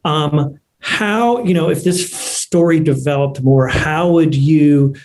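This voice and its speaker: Higher-pitched man moderating, halting delivery. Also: a little mellow. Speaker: moderator of an actors' panel. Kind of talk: casual question-and-answer moderating. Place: online video call.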